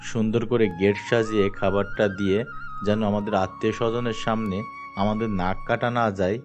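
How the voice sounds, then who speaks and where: A man playing a demanding middle-aged father of the groom in a cartoon, speaking in a measured, formal way. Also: on the low side, flat delivery, close to an everyday voice. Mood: firm, demanding. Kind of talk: scripted cartoon dialogue. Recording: music behind the voice.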